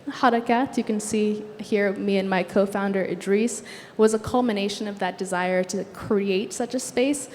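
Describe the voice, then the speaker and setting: A young woman designer, originally from Sudan with Arabic as her first language, giving an earnest, measured talk on a conference stage. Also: mid-range voice, fairly even intonation, a little mellow. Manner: earnest, measured delivery with occasional fillers. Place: conference stage in a large hall. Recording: long hall reverb, faint background noise.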